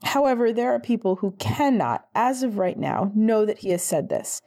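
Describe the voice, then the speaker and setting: Woman doing a calm formal female agent. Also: mid-range voice, pitched above the performer's own voice. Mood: measured. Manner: measured and formal. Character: poised middle-aged female sports agent, calm and firm.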